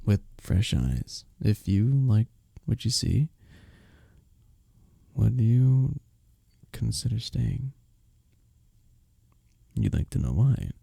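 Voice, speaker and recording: A man playing a husky, courteous medieval village innkeeper. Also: on the low side, sing-song, extremely slow, long pauses, dry recording, clean studio sound, full character voice. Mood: tender, shy. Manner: gentle, formal storybook phrasing, hesitant and tender. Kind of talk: intimate ASMR roleplay audio drama.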